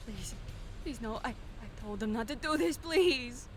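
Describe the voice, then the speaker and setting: High, whimpering, terrified thug. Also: male voice, expressive, a little slow, fairly bright tone, soft background music, exaggerated voice. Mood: terrified pleading. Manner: stammering, pleading. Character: terrified, whimpering, beaten young male thug. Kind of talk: audio drama acting.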